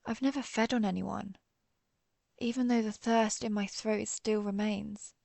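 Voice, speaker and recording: A woman's voice: medium pitch, steady tone, vocal fry, a little mellow, no echo. A woman playing a centuries-old vampire hunter turned vampire, guilt-ridden but resolute, in a somber, confessional audio roleplay monologue. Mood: weary, resigned. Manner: confessional, somber, measured storytelling.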